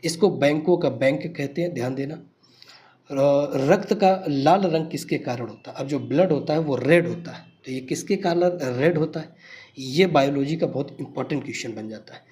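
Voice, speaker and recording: Higher-pitched male coaching teacher. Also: normal speed, a little mellow. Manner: emphatic, repeats key points, addresses students as brother. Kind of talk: quiz lesson explanation for exam students.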